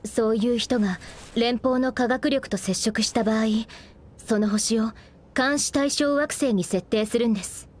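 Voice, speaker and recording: A friendly young space officer in a game cutscene, her voice sitting a bit high. She explains things in a polite, earnest way. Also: unhurried, darkish tone. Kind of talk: video game cutscene voice acting.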